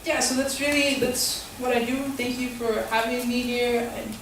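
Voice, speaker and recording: A woman's voice: fairly high pitch, steady tone, normal speed, a bit bright, a little hiss or hum. A young woman teacher and community organizer giving a casual forum talk, full of fillers and tag questions. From Tucson, Arizona. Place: school hall. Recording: echoey hall, far from the mic.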